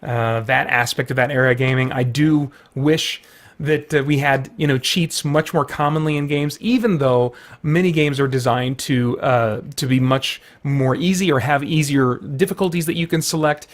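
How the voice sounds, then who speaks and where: A man's voice: medium pitch, moderate pace. A middle-aged man hosting a video with casual, rambling, enthusiastic chat full of loose asides. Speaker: video host.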